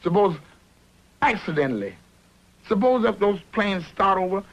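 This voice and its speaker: An excitable working man in an animated short, with a high voice that swings up and down. He frets and argues in rambling, insistent bursts, stammering and doubling back on his words. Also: moderate pace, lo-fi sound, heavily acted. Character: excitable, worrying male construction worker arguing with workmate. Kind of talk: improvised conversational dialogue in an animated short.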